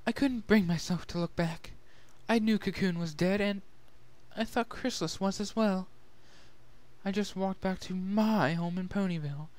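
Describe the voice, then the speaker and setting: A man voicing a refined young female pony who tells of a sorrowful past in a soft, measured, earnest way, in a dramatic story reading. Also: high-pitched, pitched above the performer's own voice, close to an everyday voice. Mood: somber, earnest. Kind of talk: dramatic fan-fiction reading.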